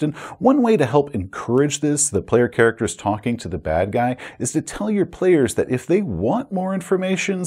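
A man's voice: medium pitch, slightly rough. An American man in his forties giving casual, emphatic commentary to camera as a game master. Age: 40s. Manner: animated, conversational, emphatic. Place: small room at home, indoors.

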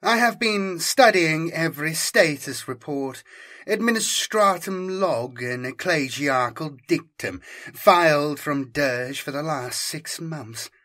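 A man's voice: fairly high pitch, animated, slow pace, bright, forceful tone, pitched above the performer's own voice, full character voice. A man reading an audiobook, voicing a cold, commanding female inquisitor with a cybernetic eye, her speech curt and imperious. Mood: explaining. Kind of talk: audiobook character voice.

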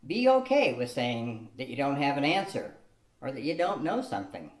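Old guy doing elmer, a patient husband who explains things, lively pitch, echoey room. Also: normal speed, close to an everyday voice. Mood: explaining. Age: older. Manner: reads carefully from a script, lecturing tone. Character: folksy older husband Elmer, patient and reasoned. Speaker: actor playing Elmer, a husband. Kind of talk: amateur radio-play reading. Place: studio room with a cloth backdrop. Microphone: stand mic on a tripod.

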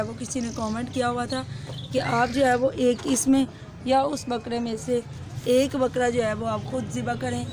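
A young woman with a hoarse throat, chatting casually to her viewers in a vlog and mixing in English words, recorded on a phone at arm's length outdoors. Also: on the high side, noisy background. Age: young adult. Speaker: vlogger. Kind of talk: casual vlog chat to camera. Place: outdoors in a rural courtyard with goats. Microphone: phone held at arm's length.

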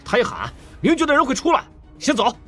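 A man's voice: very high-pitched, wildly swooping pitch, fast, bright, forceful tone, husky, soft background music, full character voice. A man playing a brash, commanding gangster in an audiobook drama, barking short orders. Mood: urgent, angry. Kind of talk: Mandarin audiobook drama acting.

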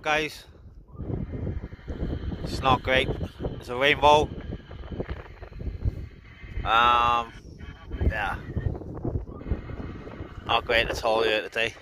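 A man's voice: medium pitch, takes it slowly, long pauses. A man operating a CB radio in casual on-air chat, with informal, chummy sign-offs. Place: inside a parked car on open moorland. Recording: noisy in-car recording with clicks.

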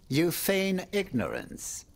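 A man's voice: sits high, huge pitch swings, extremely slow, vocal fry, slight background noise, heavily acted. An actor playing an urbane, worried, wry French nobleman in a video game, with a courtly, theatrical manner that moves between exclamation and confiding murmur. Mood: troubled, confiding. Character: urbane male French aristocrat, worried, wry, world-weary. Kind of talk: dramatic video game dialogue.

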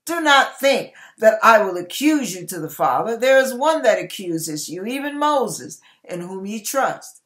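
A middle-aged woman reading Bible scripture aloud with deliberate, emphatic, dramatic phrasing. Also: mid-range voice, very animated, fairly bright tone. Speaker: online church minister reading scripture. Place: small indoor room with curtain.

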